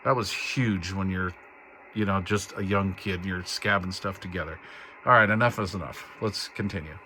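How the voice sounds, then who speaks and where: A man's voice: sits low, normal speed, sharp tone, slight background noise. A man hosting a hands-on DIY radio build video, chatting casually in a rambling, folksy way with informal slang. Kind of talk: casual hands-on tutorial chat.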